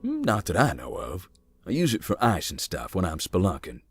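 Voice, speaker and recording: Big easygoing man, low-pitched, folksy, wry deadpan, expressive melody, visual novel game, music underneath. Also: normal speed, husky, no room sound, clean studio sound, full character voice. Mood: calm, wry. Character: giant, easygoing, wry man exploring a haunted hospital. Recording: music behind the voice.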